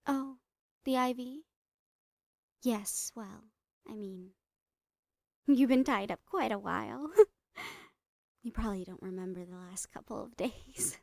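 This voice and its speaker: A woman playing a smiling psychotic doctor in a horror ASMR roleplay, breathy and often whispering, soothing and falsely reassuring with drawn-out words. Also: on the high side, dark tone, dry recording, clean studio sound. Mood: falsely sweet. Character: smiling psychotic woman doctor, sweetly menacing mad scientist. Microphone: close microphone, dry.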